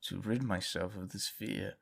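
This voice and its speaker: A man playing a stubborn, protective, troubled young guardsman in an audio drama, speaking in a halting, earnest way. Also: low voice, steady tone, vocal fry, a bit bright, slightly rough, heavily acted. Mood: insistent. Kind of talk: dramatic audio fiction acting.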